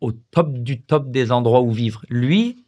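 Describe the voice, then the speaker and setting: A reflective man from France in his thirties, looking back on his playing days in a relaxed podcast chat. He talks in an easy, mid-pitched voice, often pausing to search for words and restarting his sentences. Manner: reflective, frequent hesitations and restarts. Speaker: podcast guest, former pro footballer. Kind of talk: casual conversational interview.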